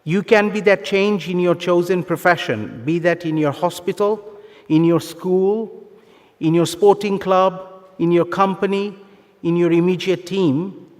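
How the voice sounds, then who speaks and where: A man giving a formal, encouraging graduation address as a university official, in earnest, measured phrases with emphatic pauses. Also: high-pitched. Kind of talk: formal ceremonial speech. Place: large conference hall, live graduation ceremony. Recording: long reverb of large hall PA.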